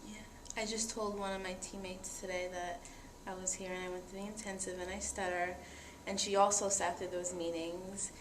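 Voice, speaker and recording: A woman's voice: on the low side, flat delivery, normal speed. A young woman talking earnestly and reflectively about herself in a conversation. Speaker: interviewee. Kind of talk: personal testimony in conversation. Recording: echoey room with faint noise.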